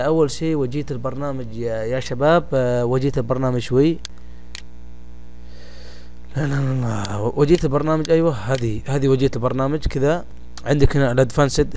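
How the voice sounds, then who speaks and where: A man with a Gulf Arabic accent presenting a software tutorial step by step in an informal, colloquial way, addressing listeners familiarly. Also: mid-range voice. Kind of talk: casual step-by-step screen tutorial. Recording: home recording with faint background noise.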